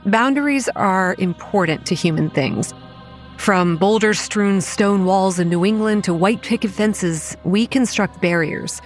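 A woman plays a young campground manager telling her own horror story in an audio drama. She sounds wary, anxious and stubbornly practical, in a mid-pitched voice. Soft music runs underneath. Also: measured pace, close to an everyday voice. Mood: wary narration. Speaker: first-person narrator.

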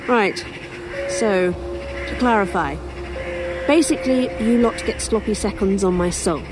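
A woman's voice: medium pitch, a little slow, background noise, lightly acted. A woman playing a defiant, weary dead writer in an audio horror drama, with a British accent and a dry, wry delivery. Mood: resigned, wry.

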